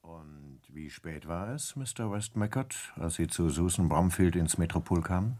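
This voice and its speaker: An actor playing a calm, terse police inspector who questions in a measured way in a radio crime play. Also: male voice, sits low. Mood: calm, probing. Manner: measured questioning with thoughtful hums.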